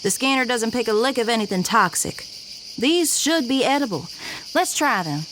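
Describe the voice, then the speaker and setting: Actress as a breezy, high-voiced female space scout. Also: lively intonation, normal speed, noisy background, natural voice. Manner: casual, breezy, teasing. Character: easygoing, daring female space crew scout. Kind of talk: audio drama dialogue. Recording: clear background ambience of jungle insects.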